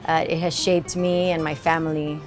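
A woman in her forties from Miami, a restaurant owner speaking in a seated outdoor interview, relaxed and full of filler pauses. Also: low-pitched, over music. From Miami, Florida. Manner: relaxed, many filler uhs, seated on a bench. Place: outdoor porch of a small building.

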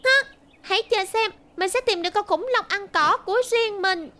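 A woman's voice: very high-pitched, normal speed, sharp tone. A woman voicing a stubborn, confident young girl dinosaur trainer in a children's cartoon, with a boastful, defiant manner. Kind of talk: animated children's cartoon dub. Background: music bed.

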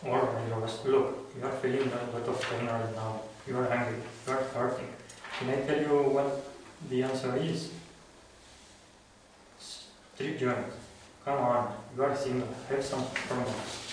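A man's voice: mid-range voice, steady tone, measured pace, close to an everyday voice. A man with a Spanish accent playing a blunt, girl-chasing young buddy in an amateur script reading. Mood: urging. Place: wooden-floored room indoors. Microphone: far camera. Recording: echoey room, distant mic, faint noise.

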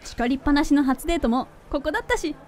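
A woman acting in a radio drama as a gentle, sincere young girlfriend. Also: high-pitched, expressive, fast. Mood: fond. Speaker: voice actor.

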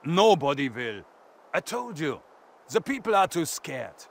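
An actor voicing a burly German lumberjack with a German accent, placating and bargaining in stilted phrases, in a video game. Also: male voice, on the high side, very animated, a little slow, creaky, exaggerated voice. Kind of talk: video game cutscene acting.

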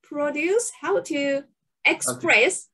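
A woman with a Japanese accent speaking slow, hesitant English on a webinar video call, pausing often to find words. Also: high voice, animated, bright, forceful tone. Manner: hesitant, many fillers, searching for English words. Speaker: webinar panelist. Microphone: video call laptop mic.

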